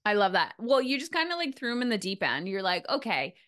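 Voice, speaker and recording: A woman's voice: mid-range voice, very animated, quick pace, fairly bright tone. A woman hosting a podcast interview, chatting informally and peppering her talk with "like" as she reflects ideas back. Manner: informal, frequent "like", reflects back what guest says. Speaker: podcast host. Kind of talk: casual interview chat.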